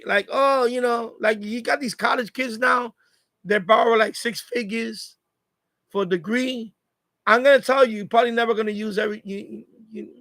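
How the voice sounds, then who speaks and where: A man in his fifties with a high voice that often breaks into gravelly creak. He chats casually like a live stream host, circling back over phrases to drive advice home. The sound is close and dry. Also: fairly bright tone, no room sound. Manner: repeats phrases for emphasis, advice-giving. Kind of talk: casual live chat monologue.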